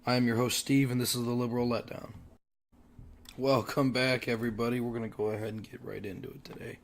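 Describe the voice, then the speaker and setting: A young adult man hosting a solo commentary show while sick and losing his voice, clearing his throat often and pausing on fillers as he restarts his sentences. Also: medium pitch, flat delivery, a little hiss or hum. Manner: halting, restarts mid-sentence. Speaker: podcast host.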